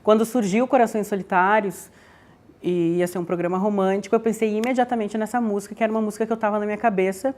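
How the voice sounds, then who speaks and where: A woman's voice: medium pitch, steady tone, fast talker, darkish tone. A woman, an actress recounting creative choices in an interview. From Brazil. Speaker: stage actress.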